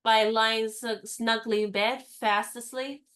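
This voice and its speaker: A woman's voice: on the high side, fairly even intonation, unhurried, fairly bright tone. A woman playing a spirited, stubborn young woman. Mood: wry. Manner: crisp, teasing and firmly insistent. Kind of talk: radio play acting.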